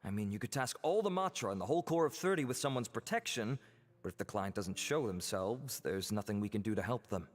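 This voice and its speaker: An actor playing a confident, formal male official in a video game, measured, articulate and reassuring. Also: on the low side, animated, long reverb tail. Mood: assured.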